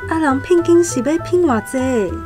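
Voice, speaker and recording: A woman's voice: high voice, animated, moderate pace. An actress with a Taiwanese accent playing a plain-spoken, homely country mother in a radio drama. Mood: earnest. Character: plain-spoken country mother seeking a match for her children. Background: instrumental music.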